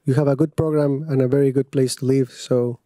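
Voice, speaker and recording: Mellow-voiced student. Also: male voice, medium pitch, flat delivery, fairly quick, dry recording. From Costa Rica. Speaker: master's student guest. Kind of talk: casual interview answers.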